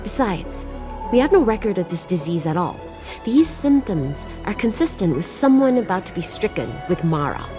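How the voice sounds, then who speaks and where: A woman acts a resigned field medic in a video game. The voice has a middle pitch with lively movement, serious and defensive, over clear background music. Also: moderate pace, lightly acted. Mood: resigned, frustrated.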